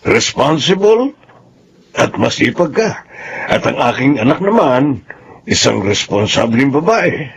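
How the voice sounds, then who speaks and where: Radio drama: actor as a fond older boss-father, chuckling, lively swooping delivery. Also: mid-range voice, gravelly, slightly rough, heavily acted. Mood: fatherly. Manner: fatherly and deliberate, with soft chuckles.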